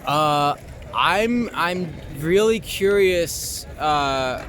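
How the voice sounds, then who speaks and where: A man's voice: fairly high pitch, expressive, extremely slow, a bit bright. A young American man from Long Island chatting casually and informally as an interviewee, feeling his way through answers. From Long Island, New York, USA. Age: young adult. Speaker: interviewee, actor and filmmaker. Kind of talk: casual street interview chat. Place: outdoors in the city. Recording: noisy outdoor city street with traffic.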